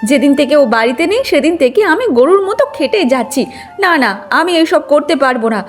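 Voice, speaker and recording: A woman voicing a resentful, lazy young daughter-in-law, grumbling and sharp-tongued, in a cartoon moral tale. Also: high voice, animated, a little fast, faint music behind. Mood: defiant, irritated.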